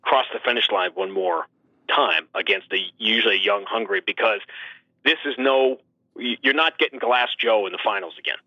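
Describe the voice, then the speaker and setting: A man working as a sports announcer, talking basketball as an animated, emphatic guest who leans on rhetorical questions, over a dull radio-like line. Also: fairly low pitch, normal speed, no echo.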